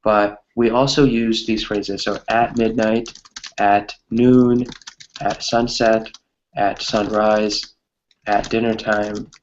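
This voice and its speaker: A man's voice: lowish voice, flat delivery, takes it slowly, muffled recording, slight background noise. A man teaching English grammar to a small group, explaining with examples in an encouraging way and often checking in with short tag questions.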